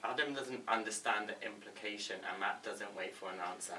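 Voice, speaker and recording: Casting: male script narrator reading stage directions, crisp forceful tone, roomy and slightly distant sound. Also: fairly low pitch, fairly even intonation, a little fast. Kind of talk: scripted narration at a table read. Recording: echoey room, off-mic, faint noise.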